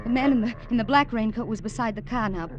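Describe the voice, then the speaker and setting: A woman's voice: fairly high pitch, quick pace, lo-fi sound, a crowd in the background, close to an everyday voice. A woman playing a flustered young driver in a radio thriller. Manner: breathless, stammering under stress. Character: young New England woman driving alone into danger. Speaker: narrator and lead. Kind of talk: 1950s radio drama narration. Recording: hissy old radio broadcast.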